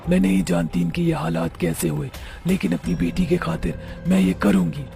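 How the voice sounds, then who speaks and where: A woman playing the vengeful, protective ghost of a murdered daughter-in-law in a dramatic cartoon, with a creaky, gravelly voice. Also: deep voice, very animated, normal speed, warm tone, full character voice. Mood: brooding, resolute. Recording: music behind the voice. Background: clearly audible music.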